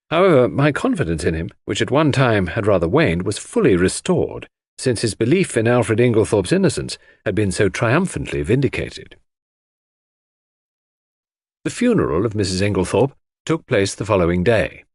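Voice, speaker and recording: A man's voice: lowish voice, husky, dry recording, clean studio sound. A man reading a story aloud as scripted audiobook narration.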